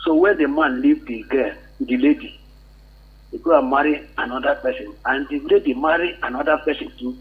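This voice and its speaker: A man's voice: mid-range voice, fairly quick. A man with a Nigerian accent calling a radio show to give informal advice in Pidgin-tinged English. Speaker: phone-in caller. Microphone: telephone call. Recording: muffled, narrow phone line.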